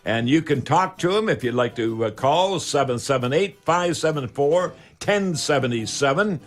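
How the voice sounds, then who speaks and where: An older man with a Canadian accent hosting a radio talk show in a folksy, halting, unscripted way. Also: on the high side, lively intonation.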